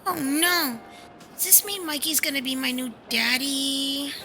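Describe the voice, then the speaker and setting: A woman's voice: high-pitched, steady tone, measured pace, sharp tone, soft background music. A woman acting as a witty, cheeky six-year-old girl with mock-innocent sass in an audio drama. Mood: mock horror. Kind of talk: amateur radio drama acting.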